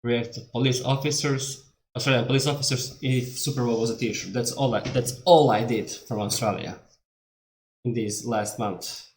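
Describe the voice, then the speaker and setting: A man's voice: mid-range voice, moderate pace, slight background noise. A man from Montenegro with a Montenegrin accent and Serbian as his native language, giving casual reaction commentary in a rambling, candid way. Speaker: reaction video host. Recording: echoey room.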